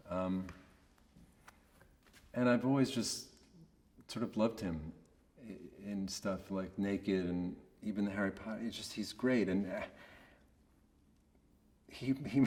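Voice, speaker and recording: A man giving a casual, reflective interview in a monotonous voice, hesitant and understated as he searches for words. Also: lowish voice, in a large hall. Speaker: voice actor being interviewed.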